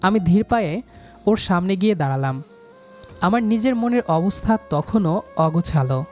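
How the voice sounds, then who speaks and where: A man's voice: high-pitched, expressive, moderate pace, a little mellow, faint music behind. A young man narrating a scripted first-person love story in a dramatic, sentimental manner.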